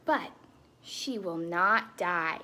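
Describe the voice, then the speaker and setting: A woman voicing a kind good fairy in a storybook, proclaiming in a measured, reassuring way. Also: fairly low pitch, bright, forceful tone, pitched below the performer's own voice, full character voice. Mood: kind, solemn. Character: kind, lovely good fairy making a gentle promise.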